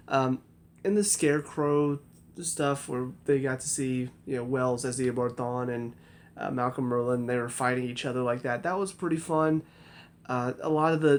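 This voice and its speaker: Rambling critical male TV reviewer. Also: medium pitch. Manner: critical, rambling, restarts sentences. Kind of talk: casual spoken review.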